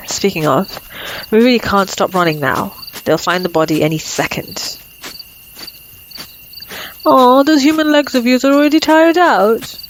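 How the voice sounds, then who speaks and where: A woman's voice: medium pitch, slight background noise, close to an everyday voice, low-quality recording. A woman playing a cocky, flirtatious female vampire in an ASMR roleplay, sardonic and playful. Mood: exhilarated, teasing. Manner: sardonic, playful, casually swearing. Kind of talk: ASMR roleplay audio drama.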